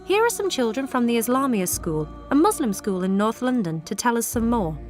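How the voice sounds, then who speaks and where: A woman's voice: on the high side, very animated, brisk, over music. A woman presenting a children's radio program, explaining things in a friendly way to young listeners.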